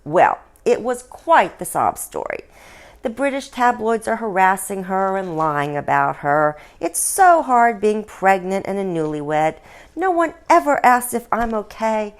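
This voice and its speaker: A sly ghostly princess in a satirical fairy tale, played by a woman storyteller. She gossips in an arch, knowing way, with a very animated pitch. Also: unhurried. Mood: arch mockery. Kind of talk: storybook dialogue reading.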